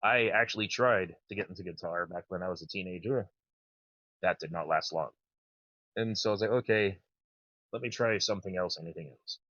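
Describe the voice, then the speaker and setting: A man in his thirties with a naturally low voice, chatting casually and enthusiastically as a podcast host. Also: fairly quick, fairly bright tone, no room sound, dull audio. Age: 30s. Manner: rambling, enthusiastic. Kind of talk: casual chat.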